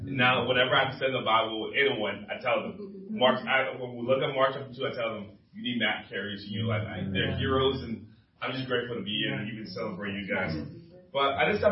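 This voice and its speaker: A young man from Liberia speaking informally at church, telling his testimony in a conversational storytelling way. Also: medium pitch. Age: young adult. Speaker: campus minister, guest speaker at church. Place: large room at a church gathering. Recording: roomy, dull sound from distant mic.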